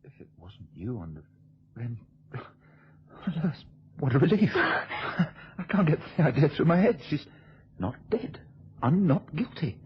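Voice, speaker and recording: A man's voice: fairly high pitch, very animated, a little slow, long pauses, husky, full character voice. An actor playing a troubled, guilt-burdened man in love, hesitant and intense in an old radio drama. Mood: dazed relief. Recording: dull, noisy old radio recording.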